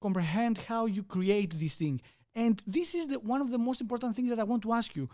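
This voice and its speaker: A man's voice: extremely high pitch, lively intonation, fast, a little mellow, no room sound, studio quality. A man with a Greek accent hosting an interview, asking curious questions and searching for his words. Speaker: podcast host.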